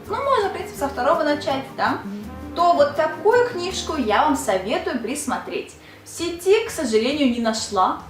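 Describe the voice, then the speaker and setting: A woman's voice: high voice, roomy sound. A young Russian woman, a Korean language teacher, chatting casually in a video lesson and asking the viewer rhetorical questions.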